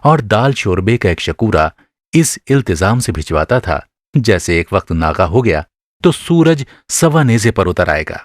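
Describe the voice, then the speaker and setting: A man narrating a literary short story for a radio drama, with expressive, measured delivery and careful phrasing. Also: on the low side, no echo, clean studio sound.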